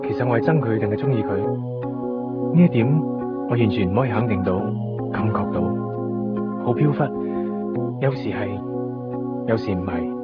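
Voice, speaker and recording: A man's voice: lowish voice, normal speed, long pauses, natural voice. An actor playing a brooding young man in a radio drama, speaking with a Hong Kong Cantonese accent. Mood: pensive. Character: brooding young man torn between love and resentment. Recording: dull 1990s radio broadcast, faint music.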